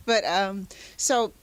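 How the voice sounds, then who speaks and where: A woman's voice: mid-range voice, very slow, no room sound. A woman hosting an interview show, asking long, rambling questions full of fillers and laughing easily. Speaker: talk show host. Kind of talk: casual interview.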